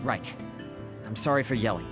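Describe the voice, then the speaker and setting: A man's voice: fairly low pitch, moderate pace, music in the background, lightly acted. A man playing a blunt, hot-tempered teammate in an English game dub, with a brusque way of speaking. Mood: apologetic.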